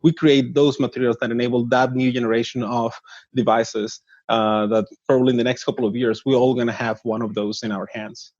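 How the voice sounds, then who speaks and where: A man's voice: mid-range voice, level tone, fairly quick, darkish tone, dry recording. A man in his thirties from northern Mexico, a startup CEO answering interview questions earnestly, with frequent restarts. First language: Spanish. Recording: dull dry lo-fi audio.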